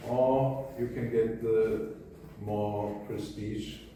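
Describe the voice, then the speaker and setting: Slow, hesitant man in an echoey room. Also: on the low side, fairly even intonation, warm tone, distant mic, a little hiss or hum. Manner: hesitant, many ums and uhs. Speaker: workshop speaker. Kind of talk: informal workshop talk. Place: workshop room.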